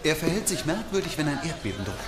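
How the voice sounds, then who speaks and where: A man's voice: a little high, sing-song, fast, reverberant, very noisy. An actor playing an eager, stubborn and playful young male scientist in docudrama dialogue. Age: young adult.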